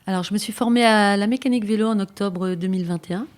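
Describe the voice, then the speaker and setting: A woman co-hosting a bicycle radio project, presenting it in a lively, list-like scripted delivery. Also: mid-range voice, fast.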